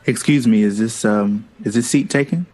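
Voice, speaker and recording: An actor playing a witty, urbane jazz composer in an audio drama, proud and wistful with an arch, playful manner. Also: male voice, mid-range voice, normal speed, darkish tone, natural voice, rough recording. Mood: shy, flirtatious.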